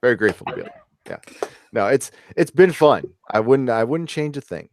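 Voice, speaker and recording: A man's voice: mid-range voice, sing-song, fairly quick, dry recording, clean studio sound. A man in his forties hosting a podcast, chatting casually. He laughs often and swears freely. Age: 40s. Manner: laughs often, loose and profane. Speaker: podcast host. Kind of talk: casual chat.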